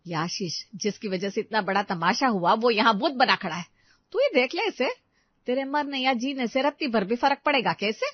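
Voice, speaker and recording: A woman's voice: on the high side, lively intonation, normal speed, no echo. Woman acting a spiteful, scolding mother-in-law, muffled dry sound. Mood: cruel threatening. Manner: harsh, taunting, commanding. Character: spiteful, domineering middle-aged mother-in-law, scolding and accusing. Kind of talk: Hindi audio story melodrama.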